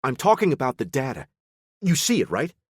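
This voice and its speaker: A man voicing an animated, chipper male hacker who rambles on a phone call. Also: mid-range voice, brisk, husky, no room sound, clean studio sound, voice pushed up.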